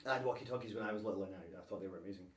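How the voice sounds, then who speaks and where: A man's voice: fairly low pitch, rapid-fire. A man chatting informally and playfully, as a father does in a casual vlog. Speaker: vlogger and father. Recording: echoey, with clear background noise.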